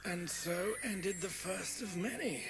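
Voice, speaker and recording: A man's voice: high voice, takes it slowly, vocal fry, over music, exaggerated voice, low-quality recording. A man playing a genteel, discreet butler in a video game cutscene, formal, polite and understated. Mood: courteous, wistful.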